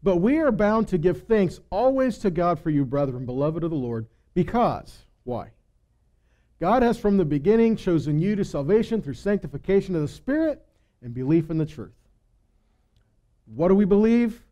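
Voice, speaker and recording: A man teaching scripture to a congregation in an emphatic sermon, often posing rhetorical questions and dropping into quieter asides. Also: on the high side, muffled recording.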